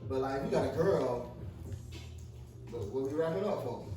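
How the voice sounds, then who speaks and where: A man's voice: medium pitch, lively intonation, moderate pace. A man of twenty-one talking casually and with slang as a student panelist in a group discussion. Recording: very echoey, distant, music behind.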